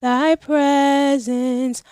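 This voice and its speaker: Woman singer, high voice, very slow hymn, dry close sound, soft music. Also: little pitch movement, dry recording. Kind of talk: slow devotional hymn singing. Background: soft musical accompaniment.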